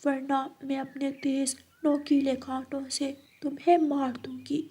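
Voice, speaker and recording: A woman's voice: sits high, little pitch movement, a little slow, mellow. A woman voicing a defiant, protective female thorn bush in a children's cartoon, giving a restrained threat. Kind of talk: children's cartoon voice acting in Hindi.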